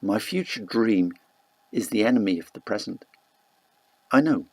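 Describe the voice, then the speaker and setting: Male audiobook narrator, literary fiction, measured delivery, creaky voice, dry close recording. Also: on the low side, expressive, a little mellow, dry recording. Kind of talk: scripted literary narration of a first-person novel.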